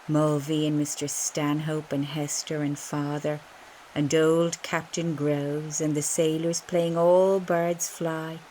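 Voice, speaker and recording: A woman acts out a defiant, sensual Irish wife in a stage monologue of streaming thought. Her voice is low and very slow, with dramatic weight on the words. A faint background noise sits behind the live recording. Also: a little mellow, rough recording. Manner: dramatic, unhurried, swinging between emphatic bursts and breathy murmurs.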